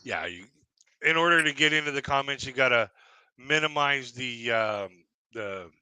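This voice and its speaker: A man hosts a livestream chat in a strikingly bright, forceful voice that sounds dry and close, with no room around it. He rambles easily and leans on fillers, keeping the talk loose and friendly in tone. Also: medium pitch, expressive, moderate pace, clean tone, no echo. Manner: rambling, heavy on fillers like "you know, like".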